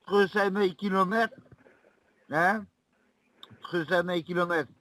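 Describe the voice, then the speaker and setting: A man's voice: sits high, fairly even intonation, measured pace, bright, forceful tone, voice pushed up. A man playing a confused, muddled haggler on a prank call, stammering and repeating himself in mumbled phrases. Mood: muddled, insistent. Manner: stammering, repetitive, mumbled nonsense.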